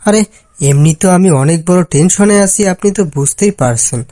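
A man's voice: high-pitched, animated, a little fast, mellow. A man voicing a dejected, educated, jobless young village man in a cartoon folk tale, with a plaintive, earnest delivery. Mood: surprised, hopeful.

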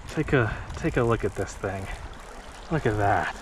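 A man's voice: fairly low pitch, a little slow, background noise. A man in his thirties narrating his fishing trip outdoors, exclaiming whenever he hooks a fish. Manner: excitable, exclaims at each catch. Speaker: fishing vlogger. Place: small creek in an open mountain valley outdoors. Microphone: head-mounted action camera.

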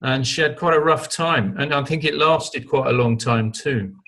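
A man's voice: mid-range voice, normal speed, fairly bright tone. An older man with an English accent sharing thoughts on a video call, hesitant with many ums and uhs. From England. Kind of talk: casual group video call.

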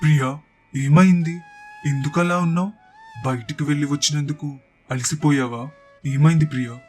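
Man playing a high-voiced, earnest young son. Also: fairly even intonation, moderate pace, a little mellow, close to an everyday voice. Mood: concerned. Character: caring, worried young married son. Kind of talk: animated moral story dialogue. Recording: faint music bed behind the voice.

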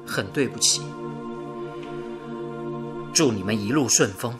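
A conflicted, dutiful young man in a radio drama. He is heartfelt and polite, with expressive pitch movement and music clearly behind him. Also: takes it slowly. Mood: apologetic. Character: conflicted, dutiful young engineer, sincere and apologetic.

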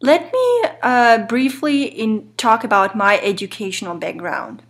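A woman's voice: fairly high pitch, expressive, unhurried, a bit bright. Ukrainian woman with Eastern European accent. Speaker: instructor. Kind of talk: prepared course introduction.

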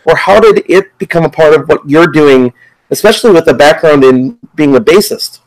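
Middle aged guy host, rambly curious questions, a bit high voice, earbuds on a video call. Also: expressive, normal speed, low-quality recording. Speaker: podcast host. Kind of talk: casual interview questions. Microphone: earphone headset on video call.

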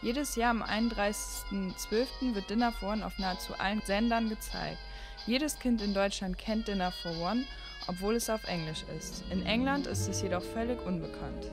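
A woman's voice: mid-range voice, normal speed. A woman reading a scripted introduction as a narrator. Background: music.

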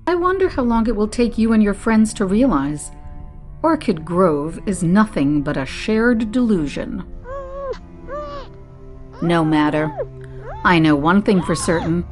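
An actress playing a cold, haughty, menacing overseer in an audio drama, speaking deliberately. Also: female voice, mid-range voice, expressive, faint music behind, natural voice. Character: cold, imperious woman interrogator, menacing zealous overseer.

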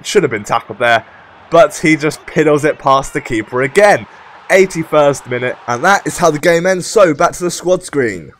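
A young man hosting casual game commentary, his voice croaky and rough from a sore throat, chatty and upbeat. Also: a little high, a bit bright, dry recording. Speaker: gaming channel host.

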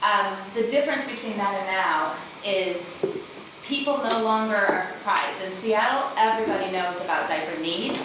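A woman's voice: mid-range voice, moderate pace. Middle-aged woman speaking in an echoey room. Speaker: audience questioner, nonprofit executive director. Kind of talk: introducing herself and asking a question at a panel Q&A. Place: seated in conference room audience, indoors. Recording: very echoey room, distant mic, noisy ambience.

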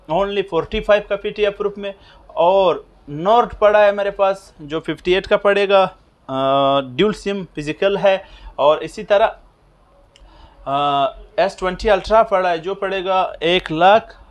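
A man in his thirties with a Pakistani Urdu accent and a fairly high voice runs through phone prices to the camera. He talks briskly and repetitively, filling pauses with "uh". He is close on a lapel mic inside a small shop.

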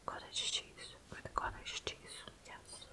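Whispering Russian-accented young woman. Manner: soft whisper, close to the mic, halting with fillers. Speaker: ASMR host. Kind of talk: whispered ASMR Q&A. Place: small dark room indoors.

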